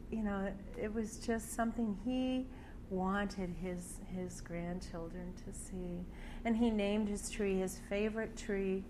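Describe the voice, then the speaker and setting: An older woman with a soft voice, reflecting on family memories in an interview, speaking haltingly with many fillers and restarts. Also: medium pitch, fairly even intonation. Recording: clear background noise.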